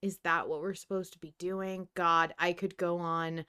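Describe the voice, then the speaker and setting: A woman hosting a podcast, debating in casual fan discussion, animated and often interrupting herself as she thinks aloud. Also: on the low side, moderate pace, clear, resonant tone. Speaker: podcast host.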